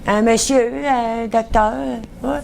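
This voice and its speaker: A woman from Montreal with a Quebec French accent, a patient answering an examiner haltingly, searching for words and swapping them. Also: on the high side, fairly even intonation, vocal fry, a crowd in the background. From Montreal, Canada. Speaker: patient in a clinical interview.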